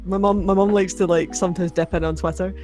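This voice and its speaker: A young woman with a mid-range Scottish accent, chatting casually as a podcast guest, quick to laugh and restart her sentences. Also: fairly low pitch, fairly even intonation, warm tone, faint music behind. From Scotland. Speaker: podcast guest, anime video creator. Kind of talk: casual chat.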